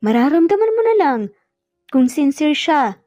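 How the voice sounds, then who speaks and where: A woman's voice: sits high, sing-song, normal speed, dark tone, dry recording, clean studio sound, voice pushed up. A woman voicing a kind, forgiving older mother who soothes and coaxes, in an audio drama. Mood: sympathetic. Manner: soothing, coaxing. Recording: muffled lo-fi audio, music bed behind.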